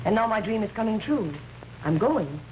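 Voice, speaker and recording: An actress in an old-time radio drama playing a dreamy, compliant wife, airy and blithely detached. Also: female voice, mid-range voice, animated, moderate pace, lightly acted. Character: dreamy, compliant wife under an alien's spell. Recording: muffled, hissy old radio recording.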